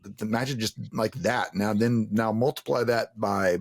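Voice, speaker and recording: A man speaks in a lowish, slightly rough voice with a very dry sound. His delivery is casual and conversational, circling and restarting as he explains, with occasional swearing. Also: fairly even intonation, moderate pace, no room sound.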